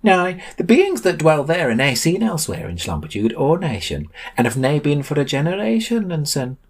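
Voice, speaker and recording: A man's voice: on the high side, big pitch swings, moderate pace, slightly rough, voice pushed up, full character voice. A man voicing an eccentric, bossy, aloof adventurer with theatrical delivery and oddly mixed dialect words in a story. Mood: grandiose.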